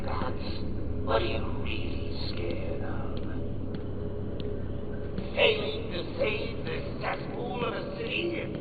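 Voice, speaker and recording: An actor playing a gleeful, sadistic clown villain in a video game, taunting and mocking with manic laughter. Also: male voice, very high voice, reverberant, heavy background noise, heavily acted. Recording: tinny distant loudspeaker sound with music.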